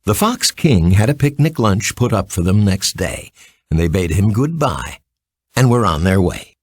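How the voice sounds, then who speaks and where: A man reading a children's story as an expressive scripted narration. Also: fairly low pitch, moderate pace, breathy edge, no room sound, clean studio sound.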